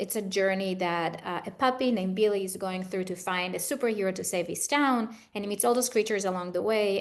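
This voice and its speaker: A middle-aged woman from Israel with an Israeli accent, giving conversational, explanatory answers as an expert interview guest. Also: mid-range voice. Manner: explanatory, advising. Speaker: guest expert, trauma therapist.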